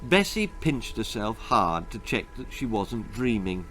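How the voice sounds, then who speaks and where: A man's voice: on the low side, big pitch swings, unhurried, fairly bright tone, slight background noise. A man narrating a children's story in a dramatic, scripted storytelling style. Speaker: author reading his own story.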